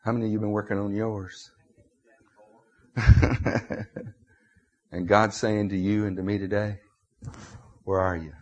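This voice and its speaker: An American man in his fifties with a husky voice, preaching an emotional sermon, dramatically swinging between hushed confession and loud cries. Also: lowish voice, flat delivery, a little slow, pauses often, lo-fi sound. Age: 50s.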